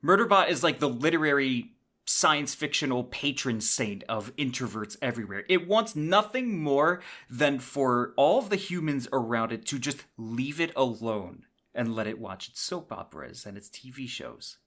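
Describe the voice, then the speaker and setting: A man's voice: medium pitch, lively intonation, normal speed, pushed and bright. A man hosting a podcast, giving semi-scripted book commentary in a conversational, explanatory way with casual asides. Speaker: podcast host.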